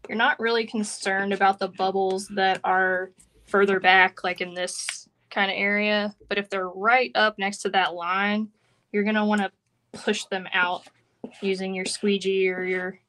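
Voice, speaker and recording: A woman with a bright, forward voice leads a live hands-on craft lesson. She talks casually and informally at an average pitch, explaining each step as she works. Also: little pitch movement, unhurried. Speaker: craft instructor. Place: work table indoors, live online stream.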